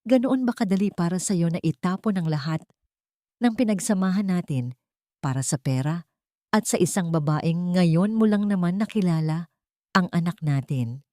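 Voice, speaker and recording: A woman's voice: on the low side, animated, normal speed, dark tone, dry recording, clean studio sound, natural voice. A woman voicing a betrayed, grieving young wife in a story, bitter and pleading. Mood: wounded pleading.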